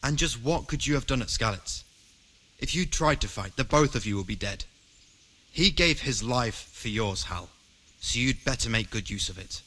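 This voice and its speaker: Fatherly townsman, very animated delivery. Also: mid-range voice, moderate pace, low-quality recording. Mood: consoling, firm. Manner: earnest, fatherly. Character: plain-spoken, fatherly castle man, kindly but firm. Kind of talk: medieval video game cutscene acting.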